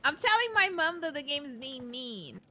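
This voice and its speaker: A woman's voice: sits high, very animated, moderate pace, bright, forceful tone, slight background noise. A woman streaming a game, chatting casually and playfully as she reacts. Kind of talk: casual playthrough reaction chat. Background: game music.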